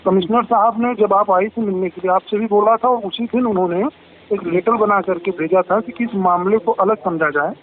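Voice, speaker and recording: A man's voice: high-pitched, normal speed. A man, a police officer explaining a case insistently on a phone call and often cutting in. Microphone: telephone line. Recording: tinny noisy phone call recording.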